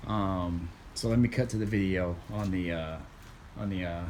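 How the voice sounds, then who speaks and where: A man chatting casually to viewers in a car vlog, rambling with many fillers, his voice a little raspy from losing it. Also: deep voice, fairly even intonation, moderate pace, darkish tone, a little hiss or hum. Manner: laid-back, rambling, many ums, says y'all. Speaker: car vlogger.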